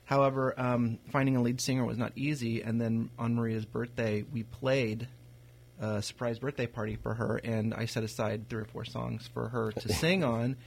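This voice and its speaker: A man, a bass player guest, telling how his band formed in a casual, steady way. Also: moderate pace, creaky, breathy edge. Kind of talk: casual storytelling.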